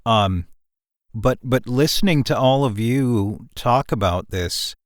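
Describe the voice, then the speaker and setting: A man speaking as the writer in a casual, reflective cast discussion, halting and thoughtful as he picks his words. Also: medium pitch, no room sound, clean studio sound. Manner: halting, thoughtful, mulls over wording.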